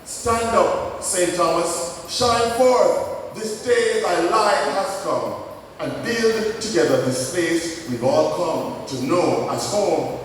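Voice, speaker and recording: A Jamaican man speaking as a poet at a public ceremony, formal, oratorical and measured in his delivery. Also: sits high. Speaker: poet giving a tribute at an awards ceremony. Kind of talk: public address and poetry recitation. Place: reverberant hall at a public ceremony. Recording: echoey, noisy hall, distant microphone.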